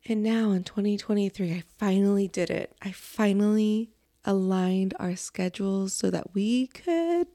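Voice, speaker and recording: A woman in her thirties hosting a podcast in a casual, expressive, informal conversational way. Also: medium pitch, gravelly. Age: 30s. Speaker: podcast host.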